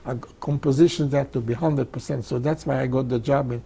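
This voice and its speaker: An elderly man, a native Hungarian speaker with a Hungarian accent, telling his life story in an emphatic, halting way, often repeating phrases. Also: medium pitch, steady tone, gravelly, mellow, breathy edge. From Czechoslovakia, Hungarian-speaking. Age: 80s or older. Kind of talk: oral history interview, personal storytelling.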